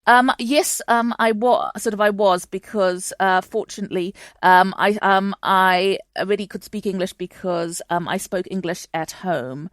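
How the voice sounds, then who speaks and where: A woman's voice: medium pitch, measured pace, hardly any pauses, no echo. Woman from chile chatting as a podcast guest, lots of ums and restarts, dry close sound. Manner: chatty, many fillers and restarts. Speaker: guest historian. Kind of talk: conversational interview answers. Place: home in London.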